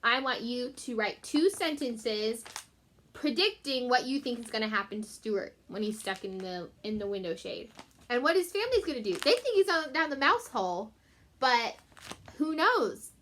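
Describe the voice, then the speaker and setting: A woman's voice: a little high, sing-song, normal speed, a bit bright, a little hiss or hum. A woman teacher reading a children's storybook aloud, expressively, with chatty asides and simple explanations for her pupils.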